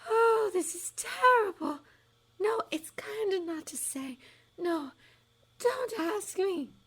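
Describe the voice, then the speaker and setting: Misty theatrical old fortune-teller, breathy, drawn-out, high-pitched, swooping. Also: female voice, expressive, a bit bright, full character voice, rough recording. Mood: dramatic. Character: dramatic eccentric older female seer, misty and theatrical.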